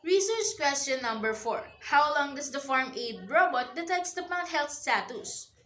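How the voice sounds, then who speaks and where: A young woman with a high voice reads her student research findings aloud, somewhat haltingly. Her webcam picks her up in a roomy, echoing space. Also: lively intonation, fairly bright tone. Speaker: student presenter. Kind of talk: reading a research presentation.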